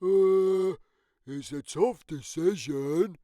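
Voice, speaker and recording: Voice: medium pitch, very slow, dark tone, no echo, clean studio sound, pitched above the performer's own voice. A storybook narrator voicing a friendly, easygoing male jungle animal with a polite, agreeable manner. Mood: hesitant, agreeable. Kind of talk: children's picture-book character reading.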